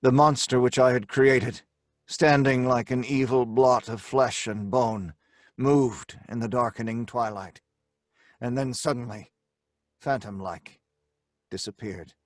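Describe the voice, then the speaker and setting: A man's voice: medium pitch, fairly even intonation, no echo, studio quality, natural voice. Man playing a grave, haunted scientist. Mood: grave, tense. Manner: dramatic, grave, deliberate. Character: haunted, defiant male scientist narrating his horror. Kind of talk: old-time radio horror narration and acting. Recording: music under the voice.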